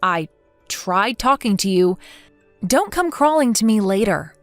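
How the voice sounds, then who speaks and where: A woman playing a wronged mother in her forties in a dramatized story, earnest and aggrieved but measured. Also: medium pitch, very animated, no echo, faint music behind. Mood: worried, polite. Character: wronged mother over forty, indignant yet polite.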